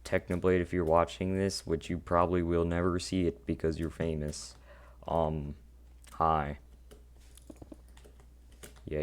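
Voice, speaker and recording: A teenage boy with a deep voice, rambling awkwardly and putting himself down while commenting on his own gameplay. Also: a little hiss or hum. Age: teens. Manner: rambling, self-deprecating, awkward. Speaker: gaming channel host.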